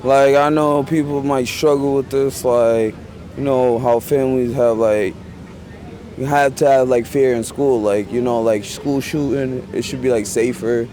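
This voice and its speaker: A man's voice: medium pitch, fairly even intonation, measured pace, mellow, a little hiss or hum. A man of twenty-six answering in a rambling way, leaning on filler words. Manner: rambling, says "like" constantly. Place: outdoors in a city.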